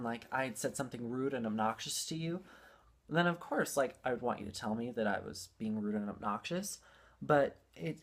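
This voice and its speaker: Young adult talking in emphatic, rambling bursts. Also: mid-range voice. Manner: emphatic, rambling, repeating phrases for stress. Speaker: interview guest telling personal stories. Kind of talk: casual heartfelt storytelling.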